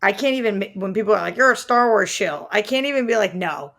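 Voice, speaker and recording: A woman's voice: mid-range voice, animated, fast talker, gravelly, a bit bright. A woman co-hosting a movie talk podcast, chatting informally and rambling through her opinions with plenty of slang. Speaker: co-host of a film talk podcast. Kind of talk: casual chat and opinion about movies.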